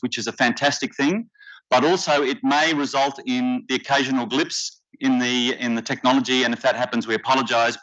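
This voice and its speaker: Bright-voiced Australian man emceeing. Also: medium pitch. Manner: measured, deliberate delivery with occasional fillers. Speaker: workshop facilitator and emcee. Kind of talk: formal conference welcome speech. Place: conference hall stage. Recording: slightly dull lo-fi conference audio.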